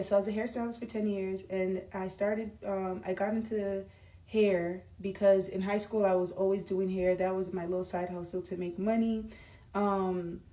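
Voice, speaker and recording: A woman's voice: medium pitch, warm tone, far from the mic, rough recording. A woman in her thirties chatting casually to camera while doing her makeup, rambling with lots of fillers. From United States. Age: 30s. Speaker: vlogger.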